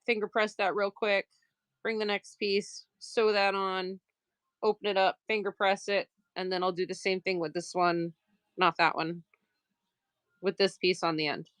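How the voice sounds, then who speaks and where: A woman's voice: medium pitch, little pitch movement, a little slow, a bit bright, smooth, no room sound. A woman from Maine with a Maine accent, chatting casually and laughing as she sews during a live stream. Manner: chatty, laughs often, self-mocking asides; talks while working, moving around the table. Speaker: quilter streaming live sales.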